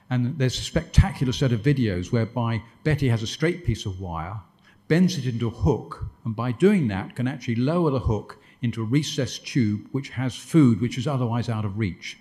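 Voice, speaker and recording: A man's voice: medium pitch, fairly quick, darkish tone. A man answering questions after his lecture, discursive, with stumbling restarts and dry wit. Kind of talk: conference Q&A answers.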